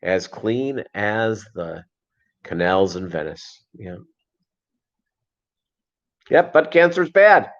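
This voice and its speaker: A middle-aged American man chatting casually and unscripted on a livestream, rambling and halting with a jokey manner. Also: on the low side, sing-song. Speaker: fishkeeping livestream host and voiceover performer. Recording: dull lo-fi livestream audio.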